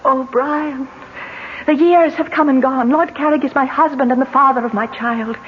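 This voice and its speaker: An actress plays a troubled married noblewoman, high-pitched and melodramatic, torn between fear and old love. It is 1970s radio drama with a dull, muffled broadcast sound. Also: fairly even intonation, normal speed, a little hiss or hum, close to an everyday voice. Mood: pained, wistful.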